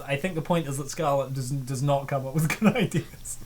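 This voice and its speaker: A man's voice: mid-range voice, fairly quick. A man narrating a comic dub with casual, sarcastic commentary and exclamatory reactions.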